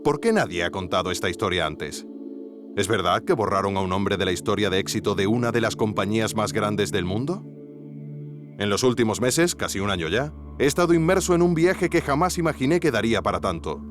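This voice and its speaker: Guy from spain narrating an investigative podcast, low voice, swings around a lot, music under him. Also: expressive, a little fast. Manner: theatrical, addressing the listener directly.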